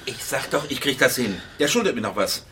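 Cocky impatient banker, middle-aged, radio thriller, lively delivery, trips on words, faint rumble behind. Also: male voice, brisk. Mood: evasive, brushing off. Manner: brusque, stammering when pressed. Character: arrogant, blustering middle-aged male banker, impatient and dismissive. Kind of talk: radio crime drama acting.

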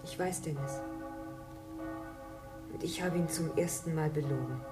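An actress playing a devoted, sincere wife in a radio drama, earnest and pleading. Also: female voice, sits low, unhurried, over loud music. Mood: tender. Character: devoted wife, confessing, soft-hearted and sincere.

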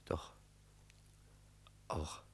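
An actor playing an anxious salesman cornered as the accused in a radio play, halting, flustered and defensive. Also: in a whisper. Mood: uneasy, evasive. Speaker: accused man in a mock trial. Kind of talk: German radio play acting.

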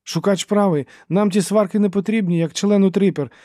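A man's voice: high voice, brisk, dry recording, voice pushed up, natural voice. A mild male peacemaker from a fantasy audiobook, played by a male reader. His voice is lifted well above the reader's own, friendly and placating. The sound is dry and close. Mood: eager agreement. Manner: soft, friendly, pleading.

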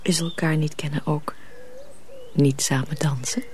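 An actress playing a devoted, tender young village girl in a radio drama, with a soft, breathy voice. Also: low-pitched, steady tone, a little slow, slight background noise. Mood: devoted, resolute.

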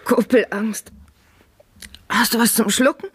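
A woman playing an earnest, high-strung young dweller of a dystopian dome city in a science-fiction radio play. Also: unhurried, slightly rough, dry recording. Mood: panicked, stifled. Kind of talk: 1970s German radio drama acting.

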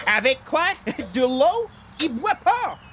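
A man playing an animated, exclamatory buddy. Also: very high-pitched, slow, slight background noise, heavily acted. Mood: incredulous. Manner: exclamatory, mixing English into broken French. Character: exuberant anglophone buddy with mangled French. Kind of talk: old-time radio comedy acting.

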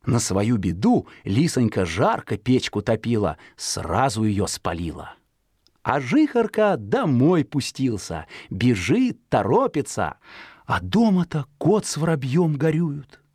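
A woman's voice: rumbling low, sing-song, slow, darkish tone, breathy edge, dry recording, clean studio sound. A woman storyteller narrating a children's fairy tale in a folksy, theatrical, singsong way.

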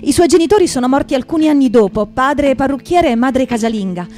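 High female voice, actress as sober chronicler, measured factual recital, stage drama, music behind. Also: animated, dark tone. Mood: sober, grave. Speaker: actress in a theatre performance. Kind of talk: scripted documentary theatre narration.

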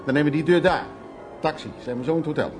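A man's voice: a little high, racing, over music, close to an everyday voice. An actor playing a troubled, wry and anxious man in a radio drama, musing aloud in hesitant, broken phrases. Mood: uneasy, agitated. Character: troubled man named Louis, recounting past, anxious, wry. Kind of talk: radio drama acting, intimate storytelling.

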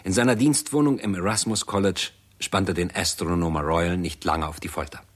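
An actor as the sober narrator of a 1960s German science fiction radio drama, reading in a factual, precise style. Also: male voice, deep voice, steady tone, a little fast, husky.

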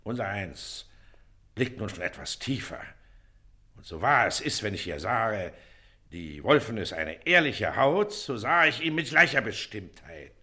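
Pompous village magistrate, swooping delivery. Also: male voice, fairly low pitch, big pitch swings, measured pace, bright, forceful tone, exaggerated voice. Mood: pompous, lecturing. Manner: pompous, officious, emphatic. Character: pompous, suspicious Prussian village magistrate, self-assured and blustering. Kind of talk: classic German radio play acting.

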